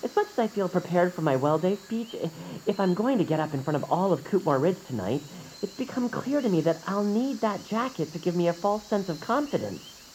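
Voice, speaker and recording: A low-pitched young male bird creature, played by a woman, vain yet friendly and easily flustered. The pitch swings widely through hesitant, affectedly casual lines in a cartoon audio-drama style, over faint ambience. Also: lively intonation, a little fast, hardly any pauses, a little mellow, a little hiss or hum, close to an everyday voice, rough recording. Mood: eager. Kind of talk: cartoonish audio fiction acting.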